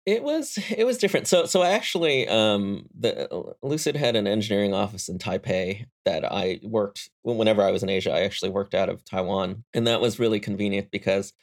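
A young adult man, a guest engineer, chatting in a relaxed interview and telling stories with frequent restarts and chuckles. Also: fairly low pitch, a little fast, hardly any pauses. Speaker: guest, engineer and startup founder. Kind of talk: casual interview conversation.

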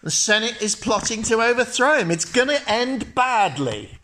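A man's voice: very high-pitched, big pitch swings, normal speed, bright, forceful tone, slight background noise, voice pushed up, exaggerated voice. Man doing a scornful young Roman friend. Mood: warning. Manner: urgent, mocking, lecturing.

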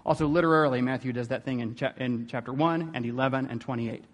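A man's voice: mid-range voice, fast talker, in a large hall. A man giving an informal theology lecture in a conversational way, often checking in with rhetorical questions.

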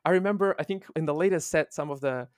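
A man, a guest on a podcast, explaining his work in a casual, halting way with many restarts. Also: a little high, dry recording. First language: Italian. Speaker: guest, translation manager.

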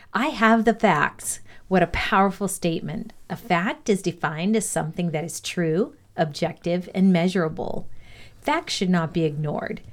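A woman with a fairly low voice narrates an educational script. She reads aloud in a measured, deliberate manner, placing each phrase with care. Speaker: reader of a values lesson.

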